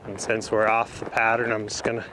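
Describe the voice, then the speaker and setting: A man's voice: lowish voice, monotone, brisk. A man who trains horses, giving instructional commentary in short, broken phrases as he rides outdoors. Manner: talking in short, broken phrases while riding. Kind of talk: instructional commentary while riding. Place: outdoors in an open riding arena. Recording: faint outdoor noise.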